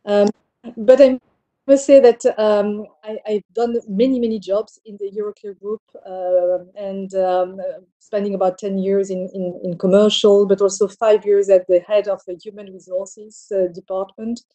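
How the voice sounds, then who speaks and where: Middle-aged Belgian executive woman, deep mellow tone, halting answers full of fillers. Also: little pitch movement, rough recording. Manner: hesitant, many fillers and restarts. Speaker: bank CEO guest. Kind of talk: interview answers.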